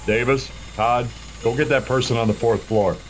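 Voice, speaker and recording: An actor playing a pushy, frustrated construction boss, with engine noise behind. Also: male voice, medium pitch, fairly even intonation, moderate pace, close to an everyday voice, low-quality recording. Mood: exasperated. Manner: pushy, exasperated.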